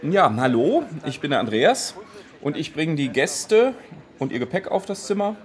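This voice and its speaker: A man's voice: fairly high pitch, very animated, moderate pace. A man introducing himself casually and plainly as a hotel porter.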